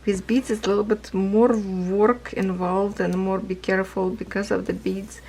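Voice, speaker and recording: Woman vlogger, hoarse and gravelly, slow unhurried chat about her stitching, faint room hiss. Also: mid-range voice, level tone, darkish tone, poor audio. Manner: rambling, non-native phrasing, laughs often. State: losing her voice. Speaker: craft vlogger. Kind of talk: casual chat while stitching.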